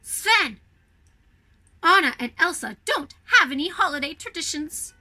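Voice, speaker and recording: A woman voicing a small, naive, excitable male snowman in a storybook, eager and exclamatory. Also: very high-pitched, unhurried, heavily acted.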